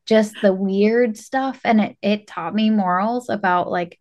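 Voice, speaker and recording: A woman's voice: medium pitch, a little slow, darkish tone. A woman co-hosting a podcast, chatting casually with wry, joking remarks. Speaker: podcast co-host. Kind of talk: casual chat.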